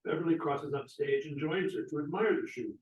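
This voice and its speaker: A man's voice: fairly high pitch, fairly quick, slight background noise. Guy reading out stage directions for a play, far off mic, roomy. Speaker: stage directions reader at a play table read. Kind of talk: scripted narration. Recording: echoey room, distant mic.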